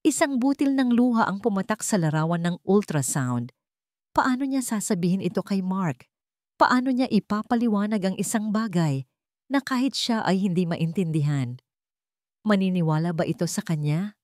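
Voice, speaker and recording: A woman reading a scripted romance drama in a solemn, emotive storytelling manner with deliberate phrasing. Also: medium pitch, expressive, darkish tone, no room sound, clean studio sound. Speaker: narrator.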